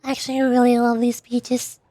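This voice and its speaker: A woman's voice: a little high, completely flat delivery, fairly quick, warm tone, no echo. A woman streaming a game, chatting casually in a rambling way and repeating words as she goes. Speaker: VTuber streamer. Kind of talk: casual game stream chat and live reading of dialogue.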